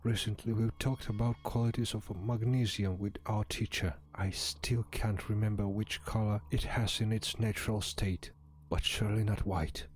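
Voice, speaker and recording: A man's voice: on the low side, flat delivery, moderate pace, a little hiss or hum, natural voice. A man playing a brooding, reminiscing character in a scripted puzzle game. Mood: pensive, recalling.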